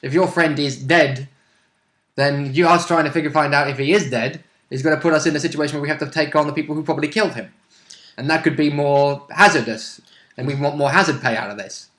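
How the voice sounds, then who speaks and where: A man's voice: on the high side, fairly quick, fairly bright tone. Man in echoey room chatting about game. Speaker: player in a tabletop role-playing game. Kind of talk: casual chat.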